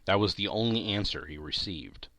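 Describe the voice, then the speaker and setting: A man narrating a classic story as a dramatic audiobook, with theatrical, deliberate phrasing and emphatic lists. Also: low voice, expressive, a bit bright, no echo. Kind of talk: dramatic scripted literary narration.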